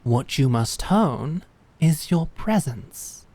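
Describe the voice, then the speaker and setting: Woman as a female knight sword master, low voice, wry, measured, fantasy audio drama. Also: expressive, dark tone, dry recording, heavily acted. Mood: instructive. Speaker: sword instructor.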